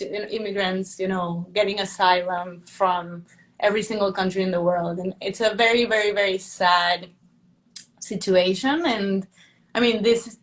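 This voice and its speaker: Woman, from Venezuela, curator guest, casual interview, quick talk, fillers and restarts. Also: mid-range voice.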